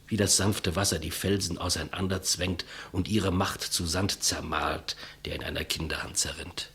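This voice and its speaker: An actor playing a humble, gentle executioner in a 1950s German radio play, speaking with hushed reverence in a husky, breathy voice. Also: male voice, low voice, steady tone, heavily acted. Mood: solemn, fervent. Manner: slow, reverent, deferential, hushed. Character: humble, gentle executioner, calm servant of the rulers.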